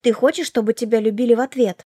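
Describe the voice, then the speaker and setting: A woman's voice: fairly high pitch, steady tone, fast talker, warm tone, slightly rough, no room sound, studio quality. A woman voicing a caring, protective elder sister in her twenties in an audiobook, firm, reassuring and motherly. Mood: persuasive. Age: 20s. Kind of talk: audiobook character dialogue.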